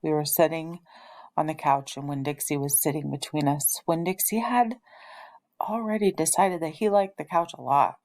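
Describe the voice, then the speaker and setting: A woman's voice: deep voice, normal speed, a little mellow. A woman teacher reading a children's novel aloud expressively, as if to an elementary class.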